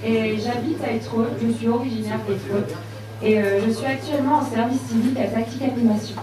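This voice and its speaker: A woman's voice: fairly high pitch, steady tone, fairly quick. A woman in her twenties giving a brief, matter-of-fact self-introduction. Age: 20s. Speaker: young panelist. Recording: echoey hall, distant, crowd.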